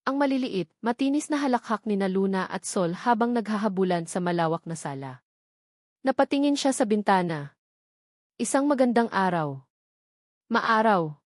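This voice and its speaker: A woman reading a romance story aloud in a steady, emotive, unhurried narration style. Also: medium pitch, no echo, clean studio sound. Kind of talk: dramatic scripted story narration.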